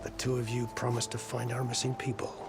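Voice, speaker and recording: An actor playing a stern, weary older admiral in a video game, gravelly, speaking in a grave, formal, measured way. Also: male voice, medium pitch, completely flat delivery, lightly acted. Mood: wary, stern. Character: weary, stern older male fleet admiral, guarded and pessimistic. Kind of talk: video game cutscene acting. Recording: music behind the voice.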